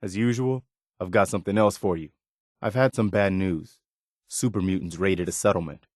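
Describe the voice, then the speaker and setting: An actor playing an earnest, steadfast but weary militia officer in a video game, sincere and plainspoken. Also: male voice, sits low, steady tone, moderate pace, dry recording, studio quality. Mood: earnest, steady. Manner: sincere, plainspoken, morally upright. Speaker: game companion character.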